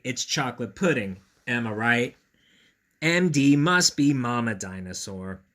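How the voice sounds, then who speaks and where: A man reading a picture book aloud to children, playful and knowing, with chatty asides and dramatic pauses. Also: medium pitch, fairly bright tone. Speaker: storyteller, school read-aloud host.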